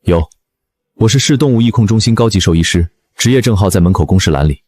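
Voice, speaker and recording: A deep-voiced, formal senior vet. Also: male voice, expressive, fast, a little mellow, no echo. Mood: firm, official. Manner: firm, official. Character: composed, formal senior male veterinarian. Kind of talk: scripted drama dialogue.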